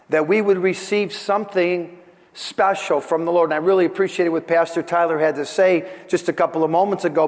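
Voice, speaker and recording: A man preaching a sermon as a pastor, speaking deliberately and earnestly, his voice slightly off after a throat infection. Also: sits high. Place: large church hall during a service. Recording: long hall reverb.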